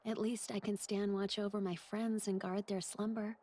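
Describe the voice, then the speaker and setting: A woman's voice: mid-range voice, flat delivery, normal speed, warm tone, natural voice. A woman voicing a solemn, sorrowful demoness devoted to redeeming herself in a video game. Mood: wistful, solemn.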